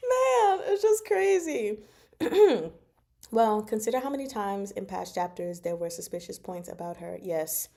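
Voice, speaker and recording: A woman hosting a casual book discussion, speaking from notes in an animated, giggly, self-interrupting way. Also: medium pitch, measured pace, creaky. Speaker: host of a book discussion show. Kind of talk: casual fan discussion, reading from notes and comments.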